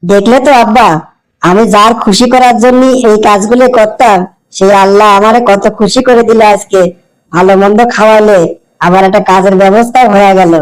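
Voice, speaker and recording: Man acting high-voiced, devout young Bangladeshi village son. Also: steady tone, brisk, close to an everyday voice, rough recording. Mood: hopeful. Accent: rural Bangladeshi. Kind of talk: amateur cartoon voice acting.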